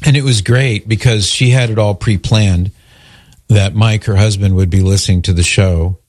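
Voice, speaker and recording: A man hosting a religious call-in radio show, explaining things in a measured, careful, conversational way. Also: fairly low pitch, steady tone, a little mellow, no echo, studio quality.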